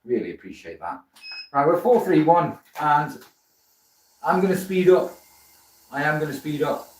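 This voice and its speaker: A man's voice: fairly high pitch, lively intonation, moderate pace, darkish tone, recorded from a distance. Chatty South Welsh man in a roomy workshop. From Wales. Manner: chatty, friendly, greets viewers by name, many fillers. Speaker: woodturning livestream host. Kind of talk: casual live chat while working. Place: workshop with a running lathe.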